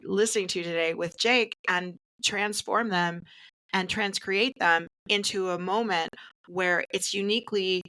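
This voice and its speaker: A woman's voice: medium pitch, fairly even intonation, unhurried, pushed and bright, poor audio. A woman hosting a casual podcast conversation in an earnest, encouraging manner. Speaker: podcast host and interpreter.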